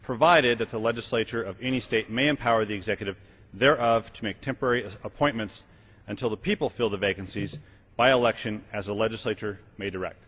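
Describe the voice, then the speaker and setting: Very fast, husky man in echoing hall. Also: on the low side, a bit bright. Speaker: member of Congress. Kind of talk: formal reading aloud of a legal document. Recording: reverberant large legislative chamber.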